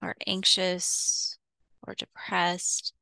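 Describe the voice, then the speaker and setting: Female meditation guide, very slow and soothing, gentle fry in a smooth tone, close dry sound. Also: lowish voice, completely flat delivery, no room sound. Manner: soothing, unhurried, with long pauses between short phrases.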